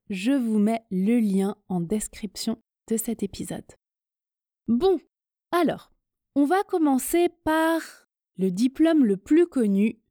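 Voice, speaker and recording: A woman from France hosting a podcast lesson, speaking clearly and encouragingly to her listeners. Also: on the high side, dark tone, no room sound, studio quality. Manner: slow, clear and encouraging, addressing listeners directly. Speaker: French teacher and podcast host.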